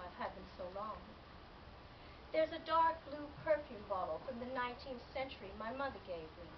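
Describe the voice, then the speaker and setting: Noisy, distant recording of a woman reading. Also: fairly high pitch. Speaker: author reading own novel excerpt. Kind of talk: scripted literary narration, first person. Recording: dull lo-fi, distant mic, loud background noise.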